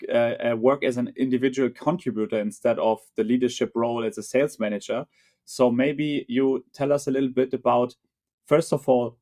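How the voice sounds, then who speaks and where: Halting podcast host, many fillers. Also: male voice, mid-range voice, a little mellow. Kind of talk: casual interview chat.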